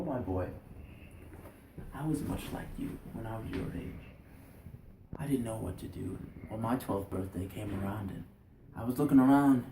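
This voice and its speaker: A man's voice: medium pitch, warm tone, close to an everyday voice. A man voicing a veteran spear fisherman, a hearty, folksy mentor, in a live storytelling. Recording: roomy, echoey, distant mic, faint noise.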